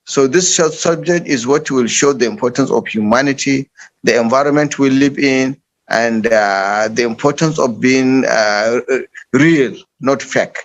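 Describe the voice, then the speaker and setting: A man's voice: medium pitch, a little slow, lo-fi sound. A man with a Nigerian accent, a panel guest speaking in an online discussion with frequent fillers and restarts.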